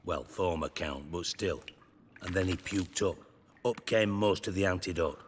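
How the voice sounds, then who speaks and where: An actor playing a cynical, foul-mouthed former count turned crime boss in a video game, with sardonic, clipped delivery over music. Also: male voice, low-pitched, moderate pace, a little hiss or hum, lightly acted. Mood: wry storytelling. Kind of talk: video game character acting.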